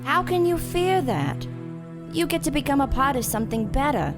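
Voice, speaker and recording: A woman voicing a calm, murderous female gardener who speaks softly and without hurry, in an audio drama with music behind her. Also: high voice. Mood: cold menace. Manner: soft, unhurried, menacing.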